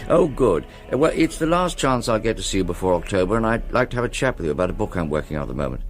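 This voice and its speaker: English college academic, understated, hesitant, expressive pitch swings, radio play, faint music. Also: male voice, very fast. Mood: friendly, probing. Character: James, discreet, wry college academic man. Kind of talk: radio play acting, conversational.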